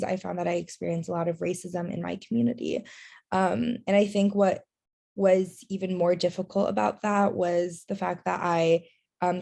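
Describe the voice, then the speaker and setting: A woman's voice: on the low side, creaky, dark tone. A young woman giving an earnest online workshop presentation over a video call, with many fillers. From Taiwan and China. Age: young adult.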